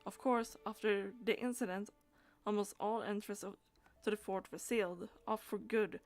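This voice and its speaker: A woman's voice: mid-range voice, steady tone, moderate pace, a little mellow, no echo, faint music behind. A woman streaming a game, reading dialogue aloud and chatting as she plays, with stumbles and repeated words.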